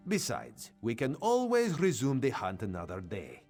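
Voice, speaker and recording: A man voicing a sly male cat-folk spy in a game, who talks about himself in the third person in a formal, measured way. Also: mid-range voice, wildly swooping pitch, darkish tone, faint music behind. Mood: reasoning.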